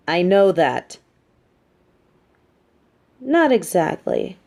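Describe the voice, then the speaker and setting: A woman playing a coolly menacing, manipulative but sympathetic supervillain in a scripted audio roleplay, speaking deliberately with theatrical condescension. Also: mid-range voice, mellow. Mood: curt, scheming.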